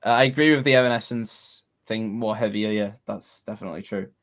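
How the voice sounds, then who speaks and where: A man with a fairly low voice talks casually as a podcast co-host, reviewing songs. He rambles, swears freely and drifts off mid-sentence. He sounds relaxed and unscripted. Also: a little fast. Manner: rambling, swears, loses his train of thought. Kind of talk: casual chat.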